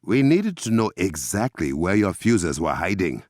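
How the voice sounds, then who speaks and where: An actor playing a proud, resolute warrior king in a video game, with an African accent and formal, measured, regal diction. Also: sits low, sing-song, no echo, clean studio sound. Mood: grave, composed. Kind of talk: dramatic video game voice acting.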